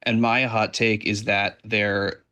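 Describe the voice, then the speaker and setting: A man's voice: fairly low pitch, flat delivery, takes it slowly, pushed and bright, smooth. A man sending a friendly, casual voice message to a football podcast. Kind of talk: recorded voice note.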